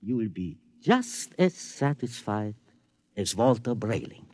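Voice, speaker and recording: An actor playing a sly, formal, discreet craftsman salesman in an old-time radio drama, deliberate and insinuating. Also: fairly low pitch, very animated, a little mellow, faint music behind. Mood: persuasive, assured. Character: sly, formal, discreet maker of duplicate marionettes.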